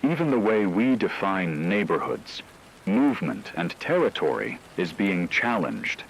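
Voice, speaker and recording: A man playing a grave radio news co-anchor giving deliberate, formal scripted commentary during an apocalypse. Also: sits low, very animated, gravelly, exaggerated voice, low-quality recording. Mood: grave, intent. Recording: faint rain noise behind voice.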